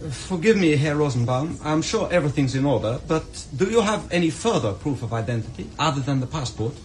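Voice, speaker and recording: An actor in a radio drama playing a polite, deferential Swiss bank official with a courteous, apologetic manner. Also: male voice, a little high, animated, brisk, slight background noise, natural voice, poor audio.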